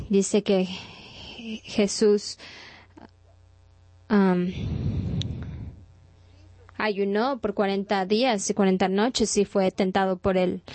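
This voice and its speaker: A woman's voice: mid-range voice, a little mellow, no echo. Woman preaching in a dry close sound. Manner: conversational storytelling, frequent restarts. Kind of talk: sermon.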